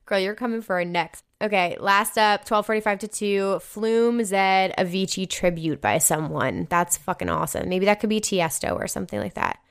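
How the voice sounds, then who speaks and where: A woman's voice: medium pitch, normal speed, gravelly, few pauses, clear, resonant tone. A young woman with a New Jersey American accent, a podcast host reading listener emails aloud and reacting in an effusive, slangy, casual way with some swearing. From New Jersey, United States.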